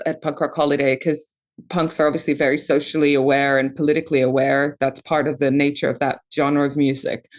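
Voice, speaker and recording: Chatty, lower-voiced woman in her forties. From Palestine. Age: 40s. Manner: chatty, swears casually. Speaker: podcast co-host. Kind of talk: casual chat.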